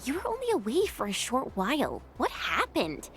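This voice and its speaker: Casting: upbeat young female game companion, high and very lively, chatty, curious; some background ambience. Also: moderate pace, a little hiss or hum, exaggerated voice. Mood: puzzled, chatty. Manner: casual, animated, expressive. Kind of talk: video game voice acting.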